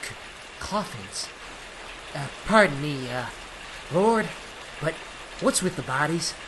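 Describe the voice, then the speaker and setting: Timid male helper, acted by a man, slightly high, slow and stammering, radio drama with noisy rain behind. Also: expressive. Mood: nervous. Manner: stammering, hesitant. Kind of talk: 1940s radio acting.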